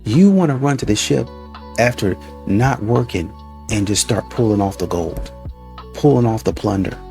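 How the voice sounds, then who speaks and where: A man hosting a reaction channel, delivering mocking, animated commentary and acting out quotes. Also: on the low side, moderate pace, creaky, soft background music. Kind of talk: ranting commentary.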